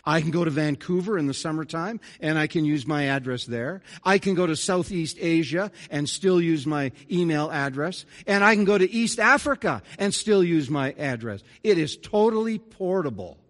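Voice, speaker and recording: A man's voice: fairly high pitch, expressive, moderate pace, hardly any pauses. A middle-aged man, a pastor delivering an earnest, conversational sermon with folksy asides. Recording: reverberant church hall.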